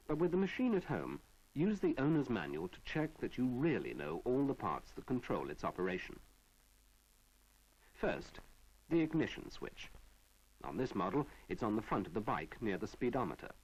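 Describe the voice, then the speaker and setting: A British man reading a measured, didactic narration for an instructional film from the 1970s. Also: medium pitch, slightly rough, low-quality recording.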